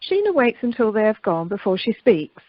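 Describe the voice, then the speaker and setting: A woman's voice: medium pitch, sing-song, fairly quick, no room sound. A woman reading scripted narration and stage directions for an amateur radio play, recorded over the telephone. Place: recorded remotely by telephone during lockdown. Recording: muffled telephone conference line.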